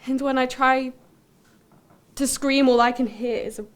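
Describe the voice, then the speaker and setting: A woman playing a haunted, introspective character who recounts a dream in deliberate, broken phrases, as a poetic dramatic monologue. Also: high voice, fairly even intonation. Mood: uneasy, intense.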